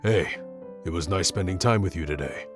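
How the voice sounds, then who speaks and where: A man playing a gruff, awkward young tsundere prince who speaks haltingly and grudgingly in an intimate roleplay audio addressed to the listener. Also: low-pitched, natural voice. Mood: protective, warning. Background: background music.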